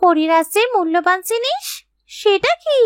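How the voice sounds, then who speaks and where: A woman's voice: extremely high pitch, very animated, normal speed, no echo, studio quality. A woman voicing an anxious, pleading little village girl in a children's fairy-tale cartoon. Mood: urgent, questioning.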